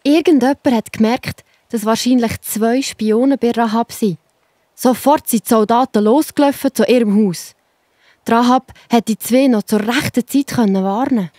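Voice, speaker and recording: A woman's voice: a little high, moderate pace, dark tone, dry recording, studio quality. A woman with a Swiss German accent narrating a scripted children's Bible story, reassuring and speaking straight to the young listener.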